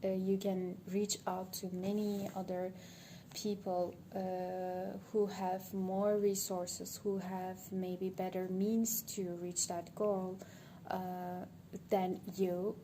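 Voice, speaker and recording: A woman from Turkey with a Turkish accent, a native Turkish speaker, giving an unscripted monologue for social media and thinking aloud, hesitant, with many pauses. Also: medium pitch, little pitch movement, warm tone. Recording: background ambient noise.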